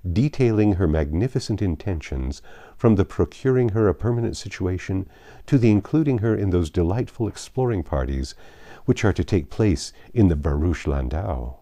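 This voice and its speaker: A man voicing a lively, opinionated young gentlewoman from a classic novel, speaking in an arch, emphatic and formal way. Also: sits low, no room sound.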